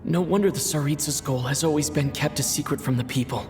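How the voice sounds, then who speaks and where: An actor playing a thoughtful young male traveler in a video game cutscene, musing quietly in his thoughts. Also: a little high, quick pace, close to an everyday voice. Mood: reflective. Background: game score music.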